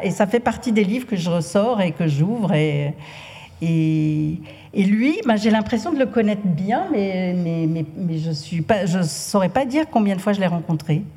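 A woman's voice: medium pitch, dark tone, big-room sound. A woman writer speaking as a guest at a literary talk, hesitant, with many fillers. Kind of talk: casual conversational interview.

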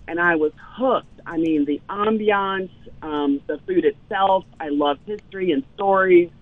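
A woman's voice: on the low side, no room sound. A woman, a chef telling stories as a guest in a casual interview, with many ums. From Louisiana, US South. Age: 50s. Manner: animated storyteller, frequent ums. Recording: tinny phone line, dry.

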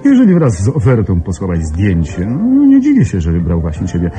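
A man's voice: deep voice, huge pitch swings, a little fast, dark tone. An actor playing a bantering, ironic young man, a protective close friend, in a radio play. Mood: playful teasing. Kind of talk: Polish radio play acting. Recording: radio play with music behind.

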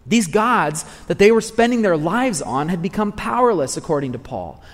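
A man's voice: high-pitched, big pitch swings, moderate pace, big-room sound. A man preaching a sermon, earnest and repeating phrases for emphasis.

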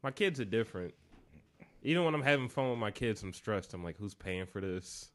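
A man's voice: lowish voice, lively intonation, fairly quick. A man in his thirties hosting a casual podcast from a home setup, talking in a laid-back, joking way. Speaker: podcast host. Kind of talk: casual chat. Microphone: desk microphone.

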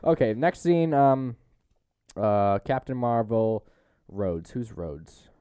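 A man's voice: mid-range voice, expressive, takes it slowly, warm tone, smooth, no room sound, studio quality. A young man hosting a casual movie review podcast, talking in a joking, slangy way. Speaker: podcast host.

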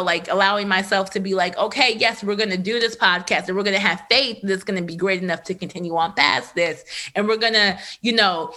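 A woman in her thirties, a podcast host, telling a candid personal story in a loose, animated way with lots of fillers. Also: mid-range voice. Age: 30s. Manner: animated, filler-heavy, laughs often. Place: Los Angeles area.

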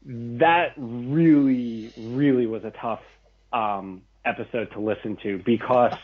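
A young man guest on a podcast, talking enthusiastically and with self-deprecating humour. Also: mid-range voice. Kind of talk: casual podcast chat. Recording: tinny phone-like call audio.

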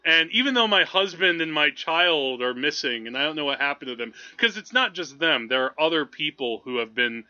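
A man hosting a film podcast, chatting casually in a rambling, halting way as he thinks aloud. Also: a little high, animated, bright, forceful tone, dull audio. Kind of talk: casual movie discussion.

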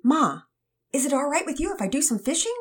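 A woman's voice: high-pitched, big pitch swings, fairly quick, pitched above the performer's own voice. A woman voicing an enthusiastic, earnest teenage boy who has newly found faith, full of slangy zeal. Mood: eager.

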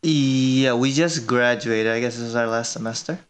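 A man's voice: medium pitch, moderate pace. A man in his twenties from Indonesia, answering casually and tentatively as a student filmmaker in an interview. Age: 20s.

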